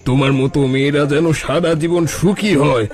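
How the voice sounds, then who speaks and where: A man's voice: on the high side, brisk, close to an everyday voice. A man voicing a sly, wheedling fake holy man in a cartoon folktale. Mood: ingratiating. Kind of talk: Bengali cartoon folktale acting. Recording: music bed behind the voice.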